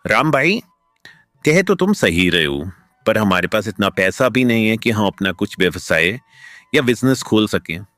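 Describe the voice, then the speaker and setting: A man playing an earnest, expressive village farmer in a cartoon story. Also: lowish voice, normal speed, no room sound, studio quality. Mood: earnest, concerned. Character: two earnest village farmer friends, adult men. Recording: music behind the voice.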